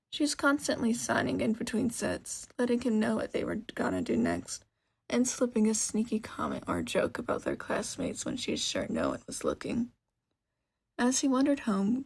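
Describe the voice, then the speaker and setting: A woman reading a story aloud as expressive scripted narration. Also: on the high side, creaky, husky. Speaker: narrator, podfic reader.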